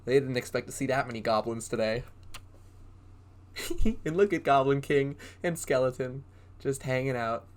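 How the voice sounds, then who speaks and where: A man reading a story to young children as an acting teacher, expressive and often putting questions to his listeners. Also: mid-range voice, normal speed, pauses often.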